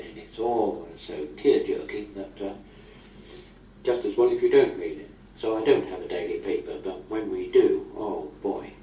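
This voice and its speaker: A man's voice: sits low, mellow. A middle-aged or older British man speaking in a chatty, wry, old-fashioned way, as if in an informal spoken letter to a friend. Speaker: amateur correspondent on a taped letter. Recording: old amateur tape, roomy, distant, noisy.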